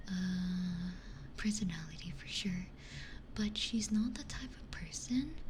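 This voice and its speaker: A woman streams ASMR in a soft, mostly unvoiced whisper. She muses conversationally as she talks to her chat, pausing between short phrases. The binaural mic is very close, with noticeable ambient noise behind her. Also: mid-range voice. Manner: hushed, unhurried conversational musing with pauses. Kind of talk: ASMR, chatting with live chat. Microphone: close binaural ASMR microphone.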